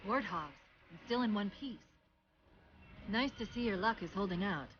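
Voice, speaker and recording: A woman voicing a quick-witted, caring, quietly ailing female AI companion in a cinematic video game, articulate and wry. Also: mid-range voice, animated, highs cut off, a little hiss or hum, close to an everyday voice. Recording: loud music mixed behind voice. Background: loud game music, vehicle sounds.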